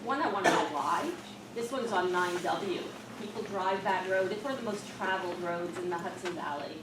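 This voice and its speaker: A woman's voice: on the low side, a little fast. A woman speaking as a resident at public comment, asking pointed, direct questions. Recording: echoey room, far from mic, noisy.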